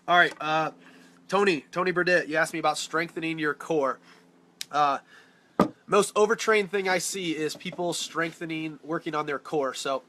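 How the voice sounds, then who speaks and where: Male fitness coach, blunt and direct, stuffy congested voice, bright tone, webcam live stream. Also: a little high, slight background noise. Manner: direct, blunt, answers viewer questions by name. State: congested from a cold. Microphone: phone or webcam on a live stream.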